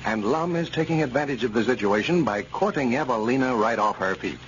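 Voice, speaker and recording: Animated old-time male radio announcer. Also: mid-range voice, a little fast. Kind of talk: 1930s-40s scripted radio narration. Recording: muffled, noisy old radio recording.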